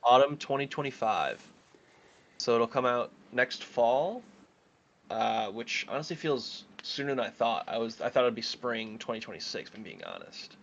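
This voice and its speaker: An easygoing young man with a mid-range voice, co-hosting a casual podcast. His mic sounds slightly dull and lo-fi, and he leads the talk along in a relaxed way. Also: mid-range voice, moderate pace, gravelly. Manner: easygoing, steers the show into news segments. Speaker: podcast co-host.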